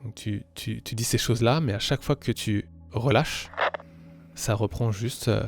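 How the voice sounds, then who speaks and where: A man improvising narration as game master of a tabletop horror game, halting and pausing often between phrases. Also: lowish voice, gravelly.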